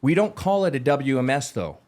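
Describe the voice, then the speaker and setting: A man's voice: mid-range voice, lively intonation, brisk, darkish tone. A man hosting a podcast, asking eager, wordy questions that often restart mid-sentence. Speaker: podcast host. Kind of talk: casual interview chat.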